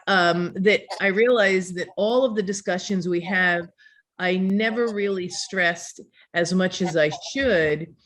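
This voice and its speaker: A woman's voice: fairly low pitch, a bit bright. Older woman, earnest and rambling. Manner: earnest, rambling, with many fillers and restarts. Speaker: community call host. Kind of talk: casual talk on a community video call. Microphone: video call.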